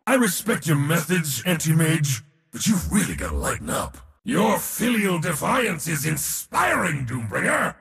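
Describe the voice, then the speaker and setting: An actor voicing a proud, battle-hungry god of war in a video game, with a theatrical, mocking, boastful delivery. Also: male voice, fairly high pitch, huge pitch swings, a little slow, gravelly, a bit bright, big-room sound, full character voice. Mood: wry, haughty. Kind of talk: video game character voice lines.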